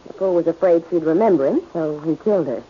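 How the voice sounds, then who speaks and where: A woman's voice: low-pitched, fast talker, a little hiss or hum, lightly acted. An actress playing a cornered, scheming, defiant female crime boss in 1940s radio drama style. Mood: cold, defensive. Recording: muffled vintage radio recording.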